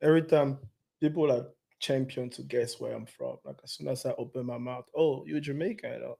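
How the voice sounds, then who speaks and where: Man, French accent, podcast guest, easygoing, matter-of-fact, mid-pitched voice. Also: steady tone, brisk, vocal fry, dark tone, breathy edge. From Cameroon, raised in France. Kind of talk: casual interview answers.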